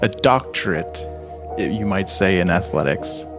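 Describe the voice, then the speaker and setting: A man's voice: deep voice, expressive, unhurried, music in the background. A man playing a tabletop role-playing game, talking casually about what his character does.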